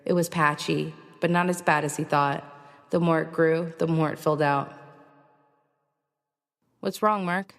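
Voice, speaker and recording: A woman's voice: low voice, fairly even intonation, a little slow, creaky, long pauses, long reverb tail. A woman playing a weary, determined survivor, narrating a first-person audio drama and acting out her dialogue. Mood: concerned, insistent. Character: Ava, weary, determined young woman surviving an alien invasion. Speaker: narrator and lead actor.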